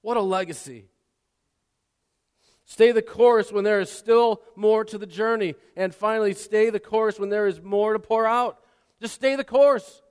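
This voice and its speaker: A man preaching a sermon, swinging between quiet asides and loud, impassioned exhortation, often repeating and restarting words. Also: high-pitched, smooth. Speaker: pastor. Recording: long hall reverb.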